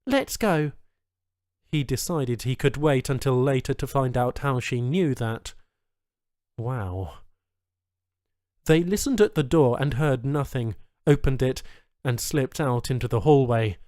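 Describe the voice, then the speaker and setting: A man's voice: mid-range voice, unhurried, mellow, dry recording, studio quality. A man reading a science fiction short story as a scripted audiobook narration.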